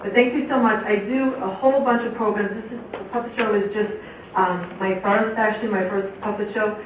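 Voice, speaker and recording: A woman's voice: medium pitch, steady tone, fairly quick. Theatrical woman storyteller in an echoey room. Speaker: puppeteer and storyteller. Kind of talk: children's storytelling, informal. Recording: very echoey, tinny, distant, faint noise.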